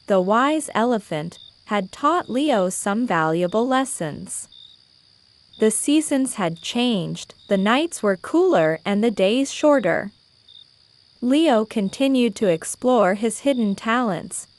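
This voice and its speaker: A woman's voice: on the high side, lively intonation, slow. A woman reading a scripted bedtime story for children as the storyteller. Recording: faint insects and birdsong behind. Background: crickets, birds, nature ambience.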